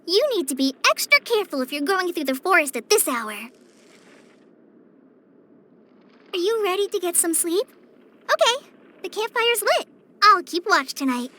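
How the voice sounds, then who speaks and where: A woman's voice: very high-pitched, big pitch swings, normal speed, full character voice. A woman voicing a shy, earnest teenage forest ranger girl in a video game, hesitant, apologetic and kind. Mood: gentle, friendly. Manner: hesitant, apologetic, soft-hearted. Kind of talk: video game character voice lines.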